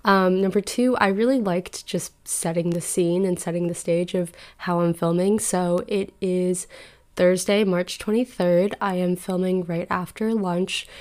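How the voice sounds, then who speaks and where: A woman's voice: mid-range voice, a little mellow, smooth. A woman in her twenties hosting a solo podcast, talking through life advice in an earnest, chatty and reflective way into a podcast microphone. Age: 20s. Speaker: podcast host.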